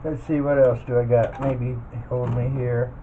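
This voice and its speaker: A man's voice: medium pitch, little pitch movement, normal speed. A plainspoken, folksy man talking casually through a hands-on scrapping tutorial, muttering to himself as he works. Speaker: scrap metal hobbyist demonstrating teardown. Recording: muffled phone-like audio, loud background noise.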